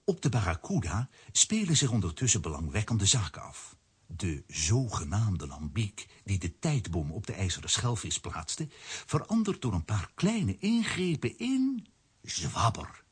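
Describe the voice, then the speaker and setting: A man's voice: on the low side, huge pitch swings, unhurried, husky, heavily acted. A man narrating a children's audio drama as a wry, dramatic storyteller.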